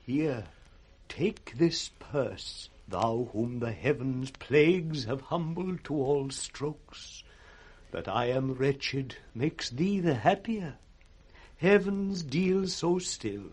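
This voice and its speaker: An actor playing a wretched, blinded old nobleman with a British accent, husky and broken, in 1960s radio Shakespeare style. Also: medium pitch, lively intonation, darkish tone. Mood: bitter despair. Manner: slow, broken, declamatory.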